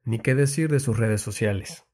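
A man giving a soft, intimate ASMR monologue in a breathy voice that often drops to a whisper, soothing and confiding, close to the mic. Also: mid-range voice, moderate pace. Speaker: ASMR host giving love advice. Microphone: very close ASMR microphone.

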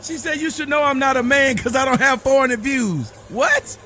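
A man's voice: extremely high pitch, normal speed, bright, forceful tone, a little hiss or hum. A man hosting a live stream, mocking a caller and reading comments aloud. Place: indoors, home studio room.

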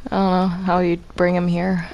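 A woman chatting casually in a game voice chat, hesitant and halting, often sounding confused. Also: on the low side, monotone, a little mellow, highs cut off, a little hiss or hum. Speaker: gamer in a group roleplay.

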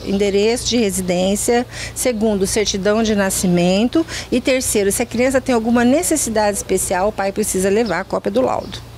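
A woman's voice: fairly high pitch, a little mellow. A middle-aged Brazilian woman, an official giving explanatory answers in an outdoor interview. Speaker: education department director. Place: outdoors under a covered yard. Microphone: reporter's handheld microphone. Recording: faint outdoor background noise.

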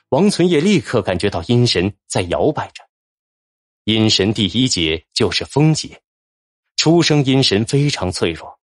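Male storyteller, low and lively, dramatic fantasy audiobook read over music. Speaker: audiobook narrator. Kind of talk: scripted dramatic narration of a fantasy novel. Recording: background music under narration.

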